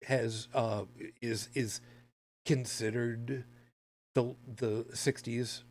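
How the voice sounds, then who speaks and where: A man from the United States co-hosting a podcast, giving casual, opinionated commentary in a halting, emphatic, sardonic way. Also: mid-range voice, little pitch movement, darkish tone. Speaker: podcast co-host.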